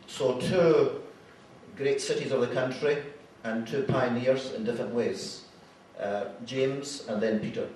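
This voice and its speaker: A man's voice: mid-range voice, measured pace, darkish tone, a little hiss or hum. A Glaswegian man hosting a meeting and introducing speakers, hesitant with many ums and restarts. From Scotland. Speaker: event host. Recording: echoey hall, voice far from mic.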